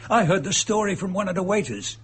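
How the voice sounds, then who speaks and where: A radio-drama murderer and impostor, cornered and bitter. His voice is a raspy, creaking husk, pitched fairly high and moving freely as he sneers. He speaks coarsely, with gloating, dark amusement. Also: animated, a little fast, full character voice. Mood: sardonic. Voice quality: husky, gravelly.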